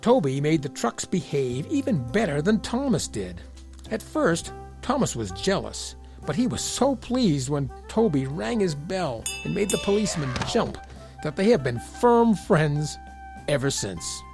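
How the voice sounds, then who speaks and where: A man narrating a scripted children's story as an expressive storyteller. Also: a little high, measured pace, gravelly, music in the background.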